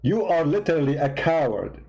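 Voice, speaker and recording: A man's voice: a little high, big pitch swings, moderate pace, mellow. A man with a foreign accent who is not a native English speaker, giving heated, mocking political commentary and firing off repeated rhetorical questions. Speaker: host commentator.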